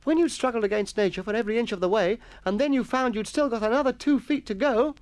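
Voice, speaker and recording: A man's voice: extremely high pitch, fast, no room sound, voice pushed up. A man reading a story, voicing an eager, earnest schoolboy who keeps pleading with his teacher. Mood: indignant. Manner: breathless, earnest pleading with sir. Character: eager chattering schoolboy Jennings, cheeky. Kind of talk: audiobook character voice.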